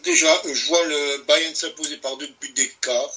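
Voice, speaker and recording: A man's voice: a little high, steady tone, moderate pace, bright, forceful tone, a little hiss or hum, low-quality recording. A man co-hosting a casual football podcast, giving matter-of-fact predictions. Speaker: podcast co-host.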